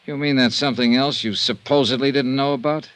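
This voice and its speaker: An actor playing a cynical, world-weary insurance investigator with an American accent in an old-time radio detective drama. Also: male voice, medium pitch, steady tone, brisk, fairly bright tone, dry recording, close to an everyday voice. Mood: accusing, sardonic. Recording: vintage radio recording, faint music.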